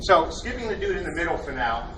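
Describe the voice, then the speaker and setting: A man's voice: on the high side, big pitch swings, fast talker. A man hosting a convention panel, introducing his team in a jokey, enthusiastic, rambling way with lots of fillers. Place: convention hall. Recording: distant mic with loud room noise.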